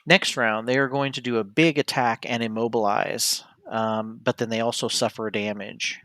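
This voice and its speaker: A man gives casual play-by-play of his own solo tabletop game in a medium-pitched voice. He thinks aloud and often stops to correct himself. The sound is dry and close, with little room in it. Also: steady tone, normal speed, fairly bright tone, no room sound. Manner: hesitant, self-correcting, talks through each move. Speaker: streamer playing a board game solo.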